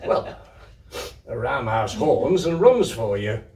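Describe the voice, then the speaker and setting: A man voicing a patient, gently amused cart driver in a storybook, with plain, kindly, short answers. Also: mid-range voice, big pitch swings, slow. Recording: roomy echo, distant microphone.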